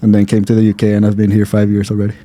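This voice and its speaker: A man from Colombia with a Colombian Spanish accent, a podcast guest who talks in a relaxed way, hesitant, with many fillers. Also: fairly low pitch, racing, no echo. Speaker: guest, general manager. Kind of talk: casual interview.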